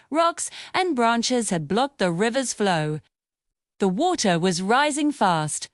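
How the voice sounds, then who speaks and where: Woman reading a kids bedtime story, highish voice, really animated and dramatic, dry close sound. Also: dry recording. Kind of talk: scripted children's bedtime story narration.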